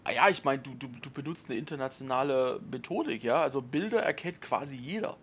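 Man from eastern Germany, group chat, joking and animated, dull lo-fi mic. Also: mid-range voice, a little fast, slight background noise. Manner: animated, joking, playful put-on voices. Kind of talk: casual chat.

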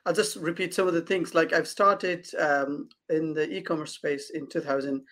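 A man's voice: on the high side, steady tone, moderate pace, fairly bright tone. A man from Nepal, a guest consultant, answering interview questions hesitantly with many fillers and restarts.

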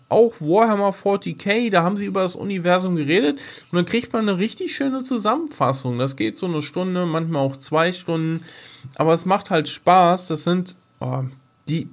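Man, somewhat high-pitched, casual podcast chat, colloquial, rambling, enthusiastic. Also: lively intonation, normal speed, creaky. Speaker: podcast co-host.

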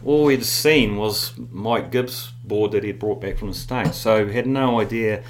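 Low-voiced kiwi man telling stories. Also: unhurried, a little hiss or hum. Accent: New Zealand. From New Zealand. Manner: rambling, animated storyteller with many fillers. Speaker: guest. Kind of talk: casual storytelling interview.

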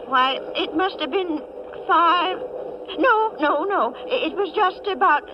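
A woman's voice: extremely high pitch, lively intonation, slow pace, heavily acted. An actress playing a sweet, chatty old lady from a small town, flustered and genteel, in 1940s radio suspense style. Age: older. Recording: noisy, muffled vintage radio broadcast.

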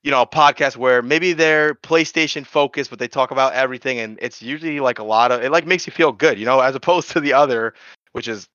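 A man in his thirties hosting a casual podcast chat, rambling and animated with lots of fillers. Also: mid-range voice, fairly quick, clear, resonant tone, no echo, lo-fi sound. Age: 30s. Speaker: podcast host.

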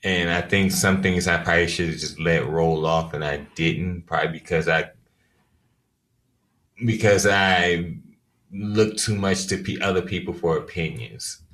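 Very low-voiced man, measured and reflective. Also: steady tone, a bit bright. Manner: measured, reflective, gives advice. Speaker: podcast co-host. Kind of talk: casual reflective chat.

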